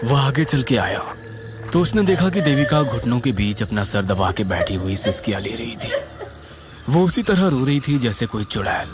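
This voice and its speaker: A man narrating a scripted horror tale in a dramatic, suspenseful and expressive style. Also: medium pitch, moderate pace. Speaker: storyteller, narrator. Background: music.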